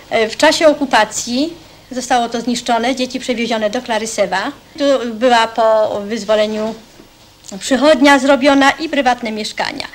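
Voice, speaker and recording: A woman recalling local matters off the cuff as an interviewee. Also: on the high side. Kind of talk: spontaneous recollection in interview. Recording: faintly noisy old newsreel location sound.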